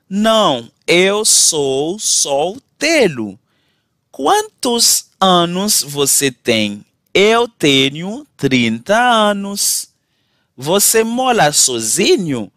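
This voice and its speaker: A man teaching a language class, saying model phrases slowly and clearly for learners to repeat, then switching to quick explanations. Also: fairly high pitch, fairly bright tone, no echo, clean studio sound. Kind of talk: repeat-after-me language drill.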